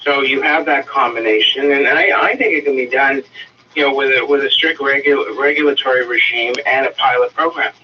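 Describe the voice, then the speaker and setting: A man answering radio interview questions as a city councillor, in a halting, measured, diplomatic way. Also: medium pitch. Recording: dull distant radio playback, faint noise.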